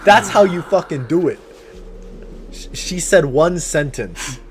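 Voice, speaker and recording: A man's voice: on the high side, lively intonation, slow pace, slight background noise. A young man who streams games, giving casual, joking, sweary reaction commentary. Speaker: game streamer.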